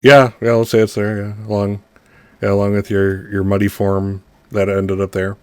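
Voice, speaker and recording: A man narrating and ruling as game master of a tabletop roleplaying game, in a relaxed, loose way. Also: fairly low pitch, normal speed, smooth, dry recording, studio quality.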